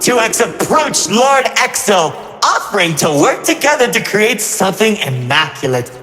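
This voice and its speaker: High-pitched, theatrical, gleeful trickster villain. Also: male voice, big pitch swings, moderate pace, pushed and bright, exaggerated voice. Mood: dramatic storytelling. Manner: mocking, flippant, melodramatic, swinging between grandeur and glee. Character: gleefully cruel, theatrical immortal trickster villain recounting war. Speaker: narrator villain. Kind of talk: theatrical storytelling narration. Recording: echoey hall reverb over music. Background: music.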